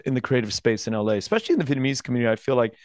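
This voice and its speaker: Man, 40s, podcast host, earnest and halting, close studio mic in a dry room. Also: medium pitch, big pitch swings, darkish tone, no room sound. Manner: earnest, halting, many restarts. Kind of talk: interview podcast. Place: home studio room with bookshelves. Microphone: headphones and studio mic close up.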